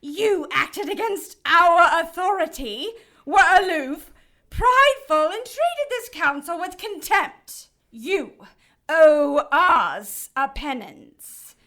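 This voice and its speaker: A woman's voice: extremely high pitch, expressive, takes it slowly, sharp tone, exaggerated voice. A woman playing a haughty, cruel cherub in an audio drama, speaking in a formal, imperious, gloating manner. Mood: stern, gloating. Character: haughty, cruel female cherub passing judgment for heaven's council.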